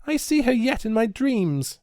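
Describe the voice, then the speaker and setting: A young tavern lass with a high, lively voice pleads through sobs. A man puts her on in a storytelling reading. The sound is close and dry. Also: moderate pace, gravelly, no echo. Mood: tearful pleading.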